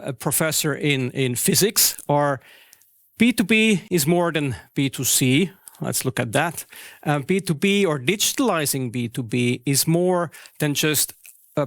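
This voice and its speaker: The man from Switzerland you would hear at a trade conference, talking through his ideas conversationally in a fairly high, dry-sounding voice, correcting himself as he goes. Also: no room sound. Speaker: conference speaker, business consultant. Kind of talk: interview and conference presentation.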